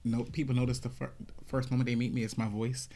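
A soft-spoken speaker talks casually in a group. They pause and hesitate while gathering their thoughts. Their pitch sits mid-range. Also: level tone, a little hiss or hum.

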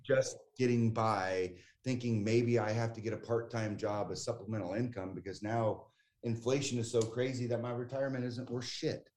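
A man's voice: mid-range voice, steady tone, moderate pace, a little mellow. A man in his forties from the United States, talking as a guest in a casual conversation, chatty and emphatic with frequent restarts. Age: 40s.

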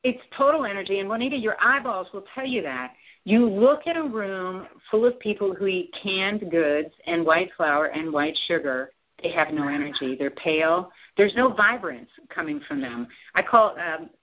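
A woman guest in an interview, talking with emphatic enthusiasm and often jumping up in pitch to stress a point. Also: expressive, normal speed, dull audio. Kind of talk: animated interview talk.

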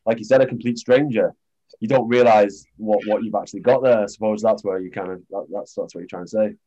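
A man's voice: lowish voice, steady tone, fast, dark tone, muffled recording. A man hosting a casual interview, talking in a rambling, chatty way full of fillers. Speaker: podcast host, former rugby player.

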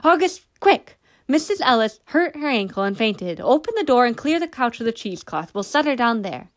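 A woman voicing a brisk, impatient farm woman with a clear, strong voice in a read-aloud story. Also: a little high, very animated, no echo, studio quality, pitched above the performer's own voice. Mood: urgent.

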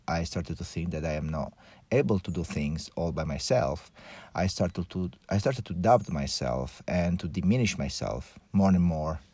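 A man from Italy with an Italian accent, hosting a podcast as a personal monologue in reflective, halting phrases with repeated restarts. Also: sits low, a little mellow, no room sound. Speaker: podcast host.

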